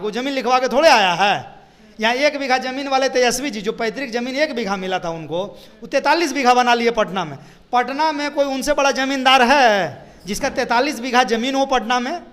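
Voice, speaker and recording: A man's voice: very high voice, a bit bright. A man with a Bihari accent giving political commentary as a party spokesperson, emphatic and repetitive, often posing rhetorical questions.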